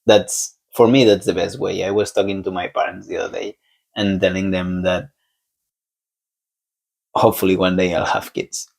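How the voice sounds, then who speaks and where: A young man from Spain with a Spanish accent, a podcast guest talking in a relaxed, halting way and laughing often. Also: sits low, vocal fry. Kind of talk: casual conversation.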